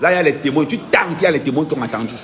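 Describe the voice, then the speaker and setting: Activist vlogger, man, pitched a bit high, emphatic and repetitive delivery. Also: very fast, fairly bright tone. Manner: insistent, emphatic, repeats key points. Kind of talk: impassioned first-person account.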